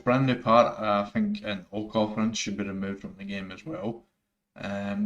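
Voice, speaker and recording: A man's voice: on the low side, fairly bright tone. A man with a thick Scottish accent, giving rambling, earnest opinions over gameplay footage, full of fillers and restarts. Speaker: gaming YouTuber.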